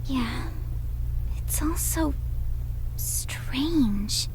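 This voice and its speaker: Visual novel role: curious, earnest young girl, very high-pitched, hesitant yet eager; faint noise behind. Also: expressive, extremely slow, heavily acted.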